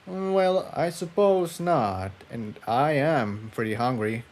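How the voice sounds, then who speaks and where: A man voicing a wry, cautious male unicorn pony who tinkers, in a dry, low-key manner. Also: lively intonation, slow pace, a little mellow. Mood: wary.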